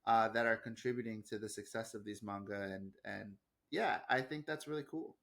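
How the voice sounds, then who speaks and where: An American man co-hosting a casual podcast discussion, speaking in a thoughtful, measured and earnest way. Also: fairly low pitch, vocal fry, a bit bright. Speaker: podcast co-host.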